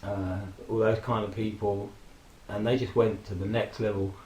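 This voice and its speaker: A man in his forties, hoarse from a throat infection, casually rambling and reminiscing with frequent fillers and laughs. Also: lowish voice, little pitch movement, moderate pace, warm tone, roomy sound, slight background noise, rough recording. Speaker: vlogger. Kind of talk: casual personal tribute monologue.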